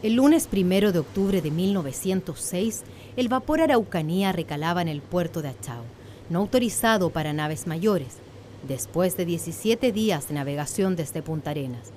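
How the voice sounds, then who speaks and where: A woman's voice: fairly low pitch, expressive, moderate pace, a little mellow, slight background noise. A woman with a Chilean accent reading scripted narration for a radio drama.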